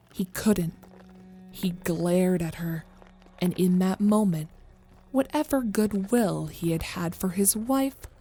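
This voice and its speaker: A woman's voice: on the low side, warm tone, husky. A woman reading scripted narration of a horror story as the narrator.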